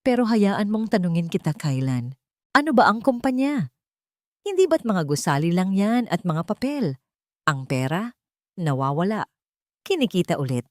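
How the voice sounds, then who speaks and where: A wise old mother , acted out by a woman narrator, speaks in a low, soft voice with calm understanding and quiet firmness. She sounds unhurried and gentle, as in an audio storybook drama, recorded dry. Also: no echo. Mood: gentle, firm. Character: gentle, wise, steadfast elderly mother counselling her son.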